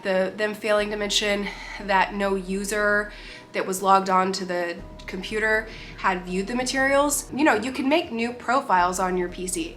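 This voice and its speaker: A young woman with a nasal, congested voice and short of breath, giving casual, opinionated commentary as a vlogger. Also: medium pitch. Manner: chatty, opinionated, animated.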